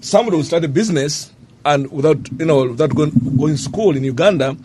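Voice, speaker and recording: Guy on a panel, kinda high voice, lots of uh and um. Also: quick pace, a little mellow, a little hiss or hum. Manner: hesitant, many fillers. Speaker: panel guest. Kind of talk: casual panel discussion.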